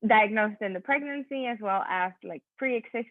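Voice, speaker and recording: A woman's voice: mid-range voice, moderate pace, dry recording, phone-quality sound. A woman in her thirties from Venezuela, a native Spanish speaker, presenting her career path to students with a conversational manner and lots of fillers. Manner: conversational, many fillers and self-corrections. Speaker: physician assistant giving a talk. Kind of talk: online presentation with slides. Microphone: video call.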